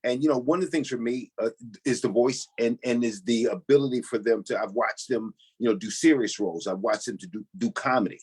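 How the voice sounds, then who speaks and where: Male interview guest, quick and husky, mid pitch, explaining expansively in conversation. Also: a little mellow. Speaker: stage actor, interview guest.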